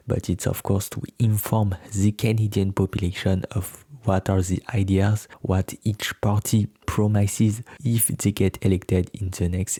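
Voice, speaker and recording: A man's voice: sits low, vocal fry, warm tone, no echo. A man whose first language is French, speaking English with a French accent as host of his own channel, explaining things earnestly and neutrally with frequent fillers. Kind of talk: solo explainer to camera.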